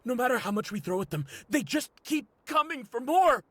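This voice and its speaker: A man's voice: very high-pitched, sing-song, moderate pace, a little hiss or hum, full character voice. An actor playing a loyal male cultist soldier who talks urgently and frantically. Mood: frantic. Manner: urgent, shouting over battle. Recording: loud music and battle crashes behind.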